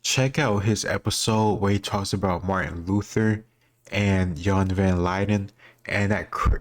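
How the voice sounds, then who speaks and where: A man speaking informally as a host, reacting casually and thinking aloud as he answers quiz questions. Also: on the low side.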